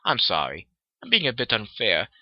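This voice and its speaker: A man's voice: lowish voice, huge pitch swings, moderate pace, no echo, highs cut off, heavily acted. A man voicing a prim, posh female musician pony in a lilting, mock-refined tone as he reads her letter.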